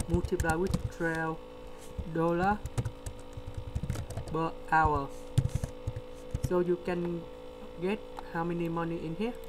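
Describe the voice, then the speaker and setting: A man with a delivery, explaining a word problem step by step in a screen-recorded lesson. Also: a little high. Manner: slow, step-by-step explaining with pauses. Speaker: tutor. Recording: steady electrical hum behind.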